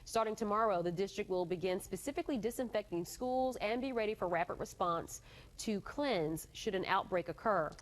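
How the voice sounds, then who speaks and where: A woman's voice: medium pitch, a little fast. A woman anchoring a TV newscast, presenting in a scripted broadcast style. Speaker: news anchor.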